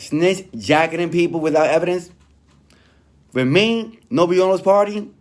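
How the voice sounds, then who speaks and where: A man commenting informally while reading aloud from a text, talking in conversational street slang and often checking that the listener follows. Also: on the high side. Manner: street-slang, conversational, peppered with "you know what I mean". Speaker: online commentator reviewing a written statement.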